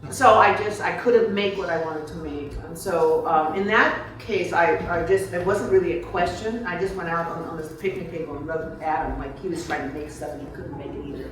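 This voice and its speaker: A woman's voice: lowish voice, steady tone, fairly quick, hardly any pauses. A woman author answering audience questions in a casual, digressive way, thinking aloud in broken, informal phrases. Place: reception room before a small audience. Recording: echoey room, picked up from far off.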